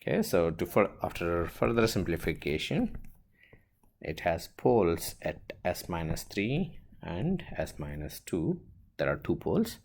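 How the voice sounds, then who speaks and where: A man teaching a step-by-step worked example as an instructor, halting and thinking aloud as he writes. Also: low voice, very animated, darkish tone. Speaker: engineering instructor.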